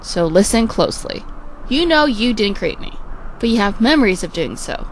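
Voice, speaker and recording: A woman voicing a frank, world-weary male character in an amateur comic dub, blunt and halting as she explains. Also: medium pitch, sing-song, a little hiss or hum, low-quality recording.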